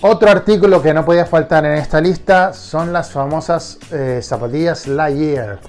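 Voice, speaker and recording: A man's voice: a little high, moderate pace, a little mellow, soft background music. A man hosting a casual collector vlog, nasal and congested from a cold, enthusiastic and often restarting his sentences. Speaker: collector vlogger.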